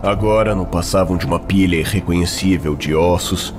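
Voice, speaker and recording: A man with a Brazilian accent giving grave, deliberate, dramatic narration of a fantasy story. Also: sits low, over music.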